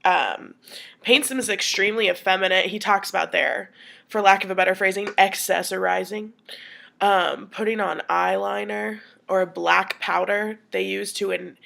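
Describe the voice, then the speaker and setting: A young woman with a sniffly cold records her class response, talking it through in a chatty way with lots of "like" and "um". Her voice is mid-pitched with a noticeable creak and fry. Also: fairly bright tone. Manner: chatty, filler-heavy, thinking aloud. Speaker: student.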